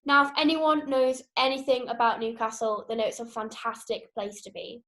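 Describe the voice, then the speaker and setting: A woman's voice: fairly high pitch, level tone, moderate pace, a bit bright. A young woman in her twenties, an outreach ambassador, giving an upbeat, enthusiastic talk to prospective students. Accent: non-Geordie British.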